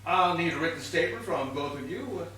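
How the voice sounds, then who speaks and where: Man playing forceful, high-pitched exasperated police inspector, echoey. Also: lively intonation. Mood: officious. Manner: brisk, dry, officious questioning. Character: exasperated male police inspector in a comic whodunit. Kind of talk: comic stage play acting. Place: stage of a small theatre. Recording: distant echoey theatre recording, faint noise.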